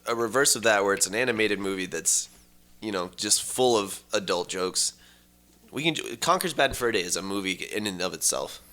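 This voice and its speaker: Male co-host, slightly low but forceful voice, halting casual explainer. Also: expressive. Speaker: podcast co-host. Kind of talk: casual chat, explaining game plots.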